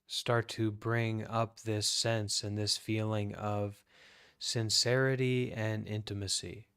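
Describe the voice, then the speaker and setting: An American man, a Buddhist monk, leading a guided meditation in a calm, deliberate way with many pauses. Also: lowish voice, smooth, dry recording, clean studio sound. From United States.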